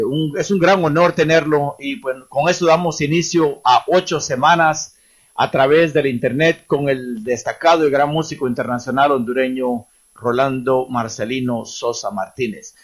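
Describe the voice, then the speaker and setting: A man hosts an online class, introducing and questioning his guest with formal respect. He pauses often on fillers as he talks. His voice sits somewhat high. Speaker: host of online language class. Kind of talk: hosting an online interview.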